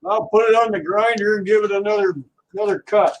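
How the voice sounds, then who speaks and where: High-voiced man chatting on a livestream. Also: steady tone, brisk, a little hiss or hum, low-quality recording. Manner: easygoing, chatty, talks to viewers while working. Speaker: livestream host. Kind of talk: casual livestream chat while scrapping.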